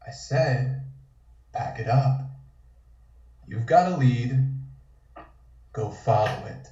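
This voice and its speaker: A man's voice: mid-range voice, lively intonation, takes it slowly, warm tone. A man playing a tired, tense policeman in a period crime table read, speaking in a curt, weary way. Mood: curt, irritated. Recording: roomy, dull, distant microphone.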